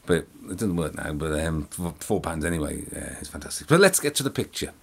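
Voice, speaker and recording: A man's voice: rumbling low, big pitch swings, brisk, creaky, breathy edge. A middle-aged English man vlogging from his living room sofa, chatty and rambling with lots of fillers and restarts. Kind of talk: casual vlog chat to camera. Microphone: camera in front, close framing.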